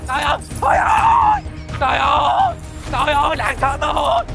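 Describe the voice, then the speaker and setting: A woman with a Southern Vietnamese accent playing a belligerent, protective mother in a broad comedy skit, in a gravelly voice. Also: sits high, fast, over music. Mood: wailing.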